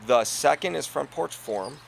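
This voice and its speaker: A middle-aged man, co-owner of an inn, talking earnestly in a casual conversation, laughing often and letting sentences trail off quietly. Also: a little high, expressive, moderate pace, vocal fry.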